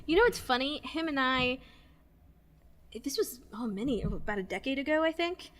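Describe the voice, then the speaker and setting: High-pitched woman chatting casually. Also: animated, moderate pace, fairly bright tone. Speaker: podcast participant. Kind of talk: casual chat.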